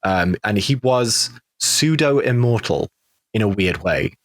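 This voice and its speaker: Low-voiced British man, close dry sound. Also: animated, slow, no room sound. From Britain. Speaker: podcast cast member, actor and producer. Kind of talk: casual podcast round-table chat.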